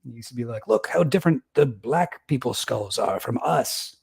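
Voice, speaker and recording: A man's voice: medium pitch, lively intonation, moderate pace, creaky, husky. A young man casually commenting on a livestream, rambling, self-deprecating and laughing often. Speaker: streamer reacting to a debate.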